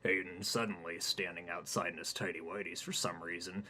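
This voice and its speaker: A man reading his own comic story aloud in a deadpan, rambling narration. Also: low-pitched, fairly quick.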